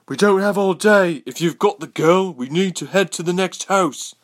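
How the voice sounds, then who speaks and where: This is a man acting a menacing soldier in a fantasy audiobook. He barks commands and threats in a sharp, forceful voice with lively swings in pitch. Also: normal speed, heavily acted. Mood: urgent, shouting. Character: menacing male soldier, an invader barking orders. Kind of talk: dramatic audiobook character voice.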